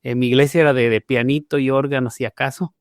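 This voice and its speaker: A man's voice: mid-range voice, fairly even intonation, quick pace, no room sound, studio quality. A man from Mexico, a pastor telling his conversion story in a podcast interview, speaking with frequent "eh" fillers and restarts. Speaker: pastor, guest.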